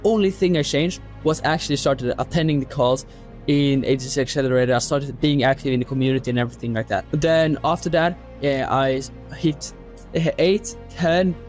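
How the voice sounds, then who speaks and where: A man's voice: medium pitch, steady tone, normal speed, soft background music. A Swedish teenage man with a strong accent, giving a casual testimonial with some fillers. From Sweden. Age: teens. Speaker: course student giving a testimonial.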